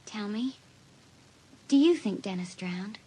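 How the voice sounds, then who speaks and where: An actress playing a troubled, uneasy teenage schoolgirl with a husky voice in an audio drama. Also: mid-range voice, slow pace, dark tone, a little hiss or hum, natural voice, rough recording.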